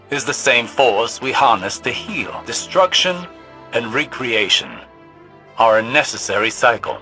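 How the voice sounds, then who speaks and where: A man's voice: mid-range voice, sharp tone. A man playing a learned scholar in a video game, narrating lore in a pensive, precise manner. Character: learned male scholar of cosmic forces.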